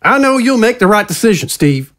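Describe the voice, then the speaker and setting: An actor playing a slick, glad-handing conservative politician in an audio drama, with a folksy, smooth insider's manner. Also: male voice, high-pitched, very animated, brisk, fairly bright tone. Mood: chummy, insinuating.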